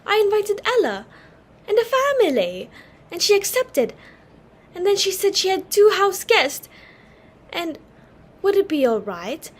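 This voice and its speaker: A woman acts out a young society daughter in a stage comedy, high-voiced and very animated in pitch. She is flustered and apologetic, anxious and pleading as she talks. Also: measured pace, long pauses, heavily acted. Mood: panicked, guilty. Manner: anxious, pleading, self-deprecating. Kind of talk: dramatic reading of a stage comedy.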